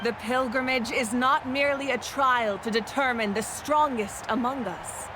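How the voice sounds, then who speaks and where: An actress voicing a dignified female ruler in a video game, giving a measured, solemn, authoritative oration. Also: high-pitched, steady tone, natural voice. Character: commanding, dignified female ruler addressing contestants. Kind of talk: game voice acting, formal oration. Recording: clear background noise.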